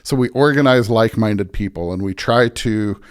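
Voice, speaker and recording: A man's voice: on the low side, moderate pace. A man speaking as a pastor and podcast guest, reflecting earnestly and conversationally, often restarting and checking points with "right?". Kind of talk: conversational reflection on faith and ethics.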